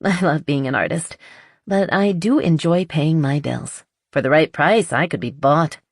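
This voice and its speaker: A woman voicing a spirited, playfully stubborn female artist in an audiobook romance, frank and animated. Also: low-pitched, measured pace, dry recording, studio quality. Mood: playful, wry. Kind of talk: audiobook character dialogue.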